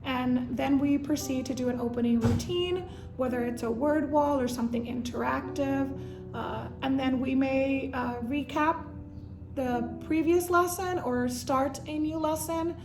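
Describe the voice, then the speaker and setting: A woman from the Dominican Republic who grew up in Puerto Rico, a native Spanish speaker, speaking as a high school Spanish teacher in a documentary interview, earnest and reflective with frequent fillers. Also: a little slow, reverberant. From Dominican Republic, raised in Puerto Rico.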